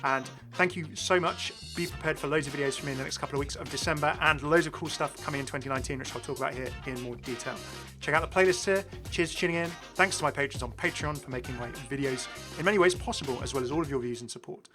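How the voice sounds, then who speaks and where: A man's voice: on the high side, quick pace, hardly any pauses, music in the background. A man hosting a gaming video with casual, enthusiastic and chatty commentary. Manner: chatty, prone to chuckling asides.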